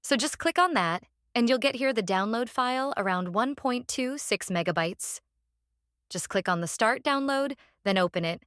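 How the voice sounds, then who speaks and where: A woman who speaks English as a second language hosts a step-by-step software tutorial in a halting, repetitive instructional way. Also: fairly high pitch, lively intonation, clear, resonant tone, no room sound, clean studio sound. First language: non-native English speaker. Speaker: tutorial host.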